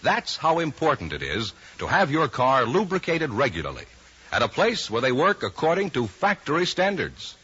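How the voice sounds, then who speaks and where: A man's voice: medium pitch, huge pitch swings, moderate pace, bright, forceful tone. An American man announcing in polished, emphatic 1950s radio style, reading scripted sponsor messages. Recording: dull, raspy vintage radio broadcast.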